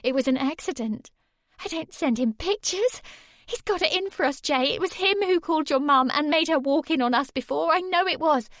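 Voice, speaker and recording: A woman's voice: high-pitched, moderate pace, a bit bright, dry recording, studio quality, voice pushed up. A woman reading aloud and voicing a frightened teenage girl who pleads desperately and tearfully. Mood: pleading.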